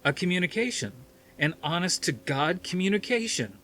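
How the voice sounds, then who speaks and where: A man reading a storybook as an excitable, insistent male researcher with a loud, eager, emphatic delivery. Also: high voice, moderate pace, slight background noise, pitched above the performer's own voice. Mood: excited, insistent.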